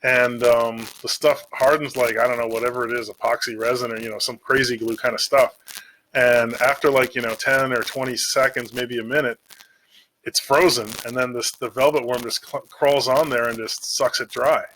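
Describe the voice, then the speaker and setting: A man's voice: mid-range voice, fairly even intonation, moderate pace, fairly bright tone, low-quality recording. A man talking off the cuff in a casual, slangy, digressive monologue, like a video creator chatting about games. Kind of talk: casual rambling monologue about game monsters. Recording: noticeable background noise with clicks.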